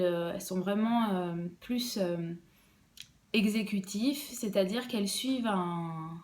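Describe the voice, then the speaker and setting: A woman's voice: mid-range voice, a little mellow. A young woman, an embroidery designer, explaining her work in a casual podcast interview, hesitant and full of fillers and restarts.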